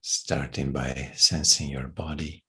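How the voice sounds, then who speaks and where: A man leading a guided meditation in a soft, breathy, hushed voice, unhurried and leaving long pauses. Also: very deep voice, level tone.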